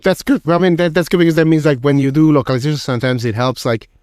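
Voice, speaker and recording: A man hosting a podcast, chatting quickly and casually with lots of fillers. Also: a little high, steady tone, darkish tone, clean tone, no echo, clean studio sound. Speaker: podcast host. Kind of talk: casual interview talk.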